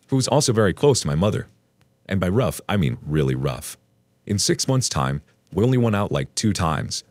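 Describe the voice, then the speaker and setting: A man reading an online post aloud as a storytelling narrator, in an expressive read. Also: sits low, no room sound.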